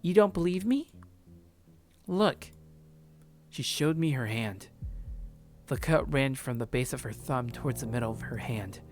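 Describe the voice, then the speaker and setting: A woman reading a visual novel aloud as a streamer, stumbling over words and breaking off to comment. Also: extremely low pitch. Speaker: let's play streamer. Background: background music.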